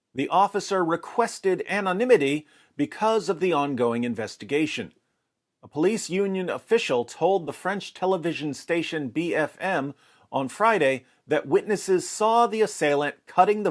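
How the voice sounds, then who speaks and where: Man with higher-pitched voice, emphatic commentary. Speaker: commentator and host. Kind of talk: scripted commentary to camera.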